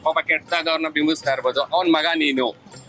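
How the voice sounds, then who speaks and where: A man's voice: fairly high pitch, fairly even intonation, fast, pushed and bright. A man explaining things casually in an interview, mixing in English words. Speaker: street interviewee. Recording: music behind the speech.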